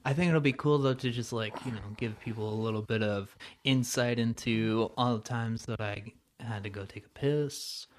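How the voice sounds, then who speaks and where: A man's voice: mid-range voice, moderate pace, hardly any pauses. A man hosting a podcast, talking in a laid-back, jokey way and swearing casually. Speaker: podcast host.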